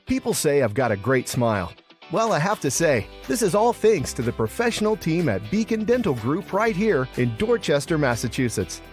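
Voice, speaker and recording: A man's voice: on the high side, big pitch swings, moderate pace, a little mellow. A man delivering a scripted testimonial commercial as a spokesman. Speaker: advertisement spokesman. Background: music.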